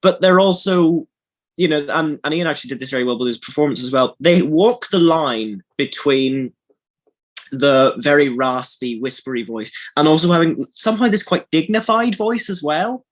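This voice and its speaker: A man's voice: a little high, lively intonation, moderate pace. A man chatting casually on a behind-the-scenes podcast, rambling effusively and often restarting his sentences. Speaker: audio drama lead actor.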